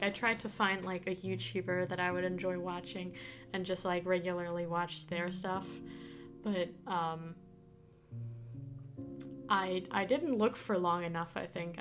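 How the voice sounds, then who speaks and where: A young woman, a native Danish speaker, chatting casually with viewers on a live stream, giggling often and restarting her sentences. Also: fairly low pitch. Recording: streamed with guitar music behind. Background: guitar music.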